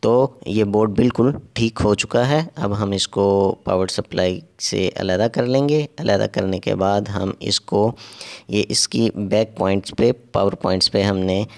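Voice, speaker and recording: A man narrating a hands-on repair tutorial step by step, halting and repeating himself as he works. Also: lowish voice, vocal fry. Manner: halting and repetitive, pausing while working. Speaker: repair technician giving a tutorial.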